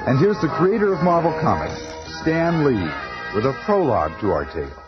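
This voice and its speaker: A male radio announcer proclaims in theatrical seventies style, fairly high and very animated. Loud music plays behind him. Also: fairly quick. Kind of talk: 1970s radio show announcing. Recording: muffled 1970s radio recording.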